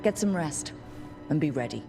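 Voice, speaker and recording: A woman's voice: sits low, expressive, moderate pace, creaky, over music. A woman playing a resolute, plain-spoken young team leader in a video game. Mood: determined. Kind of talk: video game dialogue acting.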